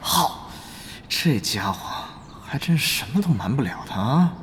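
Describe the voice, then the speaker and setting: 易慌易恼的年轻男玩家，语调夸张起伏. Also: male voice, mid-range voice, big pitch swings, unhurried, slight background noise. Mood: flustered. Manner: grumbling, easily flustered. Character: exasperated, excitable young male online guild leader and undercover gamer. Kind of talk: audio drama acting, dialogue and inner monologue. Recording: music bed under dialogue.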